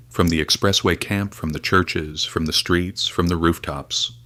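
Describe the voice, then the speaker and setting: An actor playing a dignified correspondent in an audio drama, giving a measured, formal, resolute farewell report. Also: male voice, a bass voice, fairly bright tone, no room sound. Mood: solemn wonder. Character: dignified male correspondent saying final farewell at world's end.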